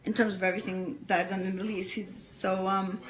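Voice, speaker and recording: A woman's voice: mid-range voice, little pitch movement, fast, a little hiss or hum, poor audio. A woman speaking as an interview guest, telling a personal story in an earnest, emphatic way with repeated phrases. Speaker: interview guest, fan club founder.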